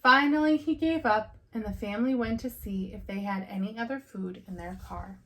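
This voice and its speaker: A woman teacher reading a picture book aloud to young children in a deliberate, expressive storytelling way. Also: mid-range voice, poor audio.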